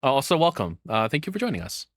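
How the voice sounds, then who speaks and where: A man's voice: mid-range voice, huge pitch swings, fast, fairly bright tone, no echo. A man streams casual reaction commentary in a mid-range voice. He rambles off the cuff and swears freely. The sound is dry, with very little room in it.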